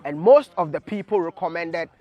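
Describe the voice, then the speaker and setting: A man's voice: on the high side, normal speed, dull audio. A man narrating a travel vlog as host, enthusiastic and speaking straight to the viewer. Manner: enthusiastic, lively, addresses the viewer. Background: faint music.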